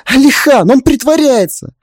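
A man's voice: mid-range voice, animated, moderate pace, a little mellow, dry recording, studio quality, close to an everyday voice. A man voicing a young wife in an audiobook drama, indignant and stubborn, pleading in an urgent, emphatic way. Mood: desperate, pleading.

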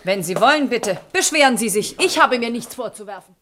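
An actress playing a brisk, matter-of-fact woman at a repair shop counter in a German radio play, businesslike and curt. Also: a little high, lively intonation, a bit bright. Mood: miffed.

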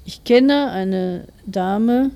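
A woman's voice: medium pitch, very slow, warm tone. A woman in her forties from Germany, explaining things as an interviewed writer. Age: 40s.

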